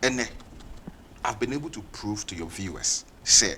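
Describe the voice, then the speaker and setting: A man's voice: fairly low pitch, lively intonation, moderate pace, sharp tone. Ghanaian male TV panelist, argumentative, summing up, light studio hiss. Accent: Ghanaian English. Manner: argumentative, summing up his points. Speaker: studio guest, political commentator. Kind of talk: political debate on a TV talk show.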